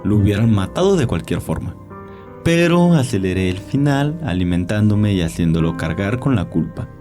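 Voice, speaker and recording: A man's voice: fairly low pitch, expressive, moderate pace, mellow, natural voice. A man playing a sly, arrogant old vampire doctor in an audio drama, telling his tales with theatrical relish. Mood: smug, amused. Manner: theatrical, leisurely, savoring storytelling. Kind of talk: audio drama character acting. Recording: music behind the voice.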